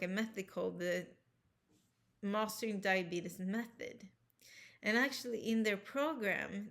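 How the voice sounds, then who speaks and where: A woman with a Swedish accent tells her own story to the camera in a casual, earnest way. Her pitch sits in the middle of the usual range. She speaks English as a second language, rambles, and often stops to restart or correct herself. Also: fairly bright tone. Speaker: health vlogger. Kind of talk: casual personal talk to camera.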